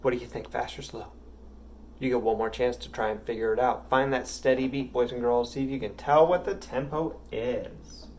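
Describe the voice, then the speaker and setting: A man's voice: medium pitch, fairly even intonation, normal speed, a little hiss or hum. Encouraging male music teacher. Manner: patient and encouraging, speaking to young pupils. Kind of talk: recorded music lesson for children.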